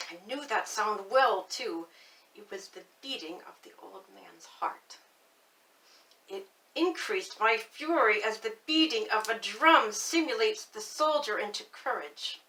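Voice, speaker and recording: A woman reads a gothic horror tale as its nervous, obsessive, murderous narrator. Her voice is fairly high and sharply bright, with deliberate, theatrical emphasis that moves between hushed and intense. She sounds slightly removed from the microphone. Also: recorded from a distance, slight background noise, low-quality recording. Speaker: storyteller reading a classic horror tale aloud. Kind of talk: dramatic spooky literary reading.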